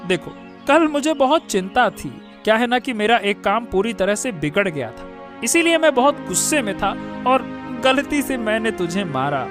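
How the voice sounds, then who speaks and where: A man's voice: sits high, sing-song, moderate pace, over music. A man voicing a troubled, apologetic village father in a cartoon story, coaxing and explaining. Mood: pleading.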